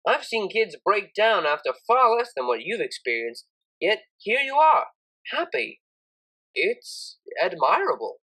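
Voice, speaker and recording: A woman's voice: mid-range voice, sing-song, measured pace, sharp tone, slightly rough, exaggerated voice, rough recording. Kindly male pony tutor, played by a woman, storybook mentor, encouraging, good-humoured, varied intonation. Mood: sincere, admiring.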